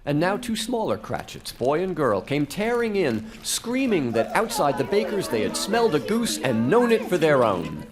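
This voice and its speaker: Animated male storybook narrator. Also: a little high, normal speed, hardly any pauses. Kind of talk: dramatic scripted storytelling in live radio drama. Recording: faint music behind.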